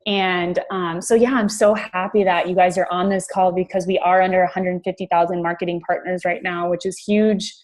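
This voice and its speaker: Low-pitched woman pitching on a group call. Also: level tone, normal speed, hardly any pauses. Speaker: sales team leader. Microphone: conference call.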